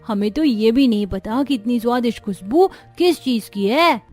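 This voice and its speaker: A woman's voice: a little high, expressive, moderate pace, a little mellow, soft background music, voice pushed up. A woman voicing a hungry, complaining young child from a poor family in a cartoon story. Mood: longing, complaining.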